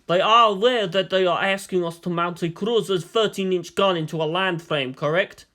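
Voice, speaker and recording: A man's voice: high-pitched, moderate pace, bright, forceful tone. A man voicing an aged, shrewd premier in a story reading, formal, questioning and skeptical. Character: aged, experienced male statesman, suspicious and shrewd premier.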